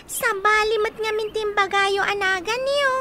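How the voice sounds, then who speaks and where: A woman's voice: very high voice, a little slow. A woman playing an innocent, chattering young child in a radio drama, with an Ilocano accent. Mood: innocent, lively. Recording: clear background noise.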